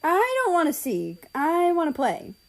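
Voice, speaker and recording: Female reader as young male cat, storybook character, high voice, eager, playful, exclaiming. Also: wildly swooping pitch, unhurried, full character voice. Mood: playful protest. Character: excited, playful young male kitten brother.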